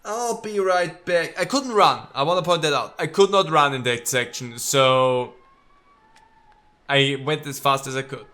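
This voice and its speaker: A man giving casual let's play commentary as a gaming video host, haltingly thinking out loud as he goes. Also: fairly high pitch.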